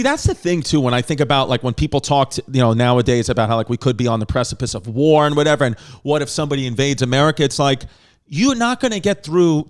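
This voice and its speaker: A man with a New York accent hosting a comedy podcast, talking in a rambling, jokey way with quick asides. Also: fairly high pitch. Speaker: podcast host. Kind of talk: casual comedic chat.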